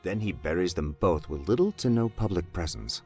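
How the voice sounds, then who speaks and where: A grave detective speaks in a deep, creaky voice with lively inflection. He is formal, measured and earnest, as in a dramatic video game cutscene. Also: faint music behind. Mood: earnest, resolute. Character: grave, formal male detective investigating deaths.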